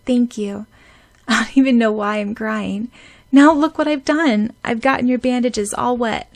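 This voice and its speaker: A woman's voice: on the high side, unhurried, creaky, pitched above the performer's own voice. A woman voicing an earnest young heroine who speaks emotionally, tearful but determined. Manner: emotional, pleading, raised voice.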